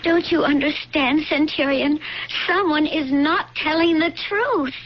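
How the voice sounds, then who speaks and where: A woman's voice: high-pitched, unhurried. A woman in a radio drama playing an earnest, loyal young blind slave girl who pleads and insists. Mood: insistent. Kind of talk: old-time radio drama acting. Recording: dull, noisy radio drama recording.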